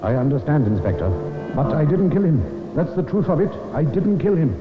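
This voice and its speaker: Distressed suspect, lively swings in pitch, vintage radio play, loud music behind dull recording. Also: male voice, moderate pace. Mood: pleading. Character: distressed accused man pleading his innocence. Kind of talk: old time radio drama acting.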